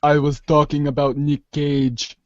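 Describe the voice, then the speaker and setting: A man's voice: fairly high pitch, flat delivery, unhurried, no echo, dull audio, lightly acted. A man playing a cocky, slangy teenage boy with a mock Austrian action-hero accent in a comic reader's theater. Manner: smug, dismissive, slangy.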